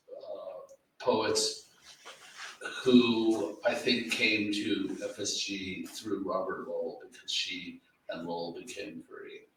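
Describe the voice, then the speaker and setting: A man's voice: lowish voice, a bit bright. A man presenting at a literary event, giving informal commentary and searching for words as he goes. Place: indoor library event room. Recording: very echoey, distant mic, faint noise.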